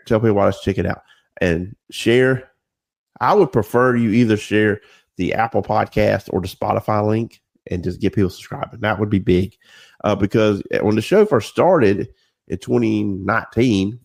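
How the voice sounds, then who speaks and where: A man's voice: lowish voice, creaky, darkish tone, no echo. A man, congested with a cold and slightly nasal, hosting a sports podcast in a casual, conversational way. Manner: informal, conversational. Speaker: podcast host.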